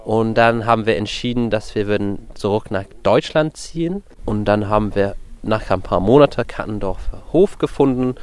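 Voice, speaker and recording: A man from England, a native English speaker, talking informally as a farmer in German with an English accent and simple non-native phrasing. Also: lowish voice. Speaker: farmer in charge of pigs and crops. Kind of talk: interview answers and informal instructions to a group.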